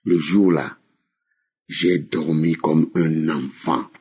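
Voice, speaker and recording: A man in his sixties from Benin, giving a spoken testimony in a slow, emphatic way that repeats phrases. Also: very deep voice, fairly even intonation, darkish tone, slightly rough, lo-fi sound. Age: 60s. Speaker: testimony caller.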